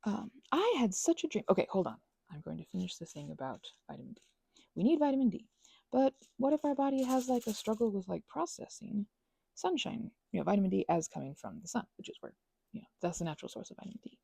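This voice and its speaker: Woman, casual rambling craft chat, whimsical, self-interrupting, gravelly fry, close dry sound. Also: medium pitch, sing-song, fast talker, warm tone, dry recording. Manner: whimsical, self-interrupting, chatting to herself and objects. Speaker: craft and ASMR video creator.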